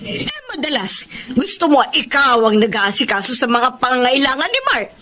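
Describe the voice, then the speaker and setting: A woman's voice: high-pitched, big pitch swings, moderate pace, bright, forceful tone, heavily acted. A woman playing a domineering middle-aged mother-in-law in a radio drama, scolding and commanding, with a Filipino accent. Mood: stern, scolding. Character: stern, domineering mother-in-law of a live-in couple.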